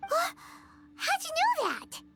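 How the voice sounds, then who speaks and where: Tiny chirpy game fairy sidekick: extremely high, childlike, excitable, swooping delivery, music behind. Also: female voice, slow, creaky, full character voice. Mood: puzzled. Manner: excitable, babbly, speaks of herself in third person. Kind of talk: video game voice acting.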